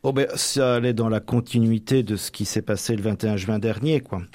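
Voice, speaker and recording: A young man from Normandy, a deacon about to become a priest, answering radio interview questions with many pauses and restarts. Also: fairly low pitch, darkish tone, no room sound. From Normandy, France. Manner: hesitant, many fillers and restarts. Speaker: deacon soon ordained priest.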